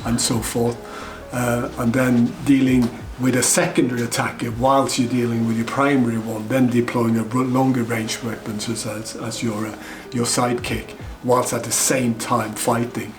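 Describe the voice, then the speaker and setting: A middle-aged man from Norway, a Krav Maga instructor, commenting on fight scenes in an articulate, measured way with fillers. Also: medium pitch, little pitch movement, music in the background. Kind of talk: reacting to film fight scenes.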